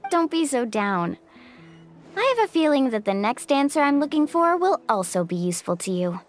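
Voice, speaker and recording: A woman's voice: high-pitched, big pitch swings, normal speed. A woman voicing a spirited, friendly young female guide in a video game, upbeat and sincere. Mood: encouraging. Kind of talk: scripted game dialogue. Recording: background music under the dialogue.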